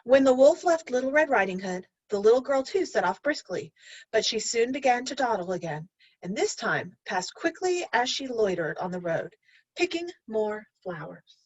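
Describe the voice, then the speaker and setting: A woman's voice: on the high side, sing-song, normal speed, husky, no room sound, highs cut off. A woman reading a children's story aloud expressively, like a teacher addressing pupils.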